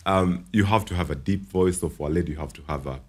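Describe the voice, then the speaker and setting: A man's voice: low voice, fast, slightly rough. A young Kenyan man, a voice-over artist, chatting casually on a podcast, explaining with examples and laughing often. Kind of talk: casual podcast chat in mixed Swahili and English.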